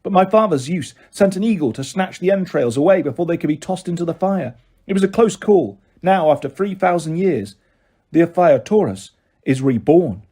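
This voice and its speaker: A man's voice: on the high side, animated, normal speed, dark tone, slightly rough. A man voicing a grave, formal ancient huntress in a storybook narration. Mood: solemn. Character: solemn ancient immortal huntress in girl's form.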